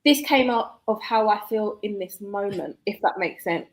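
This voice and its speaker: Woman, forties, chatty guest, laughs a lot, mid pitch. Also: poor audio. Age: 40s. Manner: chatty, laughs often, quick asides. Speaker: guest author. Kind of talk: casual interview chat.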